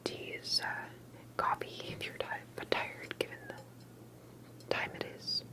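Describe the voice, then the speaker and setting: A woman performing a whispered ASMR roleplay as a secretive, cautious investigator, speaking in a hushed and hesitant way with soft pauses. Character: secretive, cautious investigator interviewing an alien abductee.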